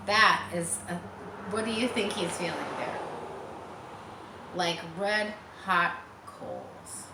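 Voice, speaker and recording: Children's story reader: woman, lowish pitch, expressive, explanatory asides, distant roomy recording. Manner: expressive, pauses to explain to young listeners. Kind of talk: children's read-aloud with chatty asides. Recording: roomy, distant mic, faint background noise.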